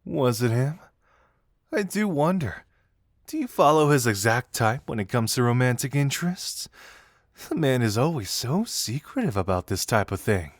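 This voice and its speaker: Casting: male actor as teasing young detective confidant for audio roleplay, animated intonation, amused conversational delivery, dry close sound. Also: medium pitch, moderate pace, dry recording. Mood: sly, musing. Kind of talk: intimate scripted audio roleplay addressed to the listener.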